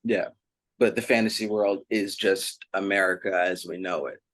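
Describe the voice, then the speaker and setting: A man's voice: sits low, steady tone, moderate pace, a bit bright, no room sound. A man conducting a thoughtful interview, feeling out his questions carefully and with some hesitation.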